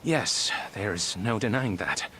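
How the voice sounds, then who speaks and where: An actor playing a reserved, formal middle-aged male clinic director with a measured delivery in an English video game dub. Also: lowish voice, animated, bright, forceful tone, a little hiss or hum, heavily acted, rough recording. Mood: somber.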